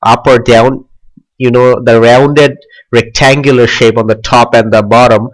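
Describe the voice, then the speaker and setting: A young man hosting a software tutorial in a loose, chatty way, with frequent "like" and "uh" fillers. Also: medium pitch, steady tone, a little slow, poor audio. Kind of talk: casual screen tutorial.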